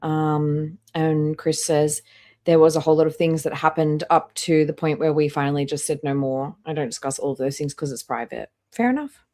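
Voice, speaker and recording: A woman's voice: sits low, flat delivery, moderate pace, darkish tone. A woman live streamer giving casual commentary and reading out chat, with a disapproving, dryly sarcastic manner.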